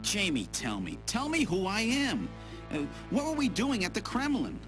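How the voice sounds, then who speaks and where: A confused amnesiac agent in a video game drama, desperate to learn who he is. His pitch swings very widely, and music plays clearly behind him. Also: huge pitch swings, normal speed. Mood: bewildered, agitated. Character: bewildered amnesiac male special agent seeking his identity.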